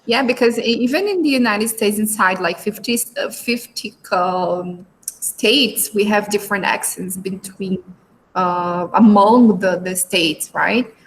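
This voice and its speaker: A woman's voice: mid-range voice, unhurried, a little hiss or hum, low-quality recording. A woman coordinator hosting a school live stream, speaking hesitantly and often stopping to search for words. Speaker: language coordinator and host.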